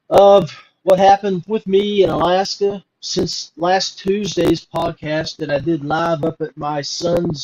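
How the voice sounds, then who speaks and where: Slow, rambling male podcast host. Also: on the high side, darkish tone, poor audio. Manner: rambling, many fillers and restarts. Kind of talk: casual live podcast talk.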